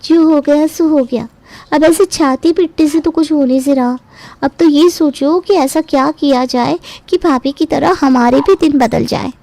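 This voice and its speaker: Woman voicing high, envious sister-in-law. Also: normal speed, warm tone, no echo. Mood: practical, scheming. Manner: lamenting, exclamatory. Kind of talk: acted dialogue in a Hindi cartoon family story.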